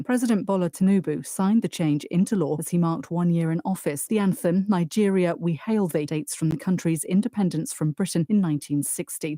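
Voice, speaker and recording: A woman's voice: on the low side, warm tone, dry recording. A woman reading a scripted international news roundup.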